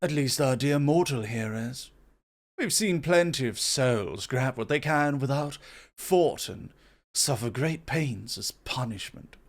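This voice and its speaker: A man voicing a proud, composed god of creation in an ASMR roleplay, speaking in a measured, formal, self-assured way. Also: medium pitch, animated. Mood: patient, grave.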